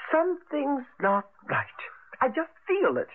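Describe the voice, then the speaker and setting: A woman's voice: high-pitched, wildly swooping pitch, unhurried, full character voice. An actress playing a nervous, worrying middle-aged mother in a radio drama, with a fretful manner. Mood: alarmed. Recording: noisy, tinny vintage radio broadcast.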